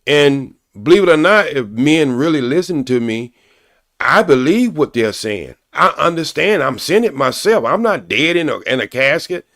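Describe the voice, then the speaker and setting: A man's voice: on the high side, big pitch swings, normal speed, a bit bright, no room sound, studio quality. A man speaking on a live-stream panel call, earnest and long-winded as he makes his point. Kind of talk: casual live-stream debate.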